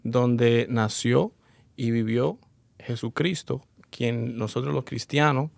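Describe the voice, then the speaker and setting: A man speaking as a podcast guest in a slow, solemn, deliberate way. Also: medium pitch, steady tone, creaky, no echo.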